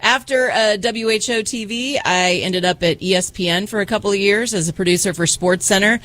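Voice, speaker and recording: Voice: medium pitch, a little fast, fairly bright tone, poor audio. A middle-aged sports talk radio host with an American accent, chatting casually. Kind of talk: casual chat.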